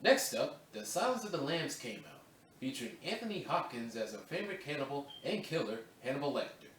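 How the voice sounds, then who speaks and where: A man's voice: mid-range voice, sing-song, brisk, fairly bright tone, a little hiss or hum. A man recording voice-over takes for a video essay. He chats casually between takes and restarts lines to correct his reading. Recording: roomy echo, distant mic, faint ambience.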